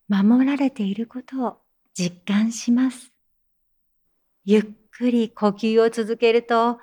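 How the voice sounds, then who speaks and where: An actress as a female teacher guiding meditation in an audio drama. Her voice sits on the high side and moves extremely slowly. She is soothing and insistently calm, with measured, gentle instructions. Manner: measured counting, hushed reassurance, gentle instructions.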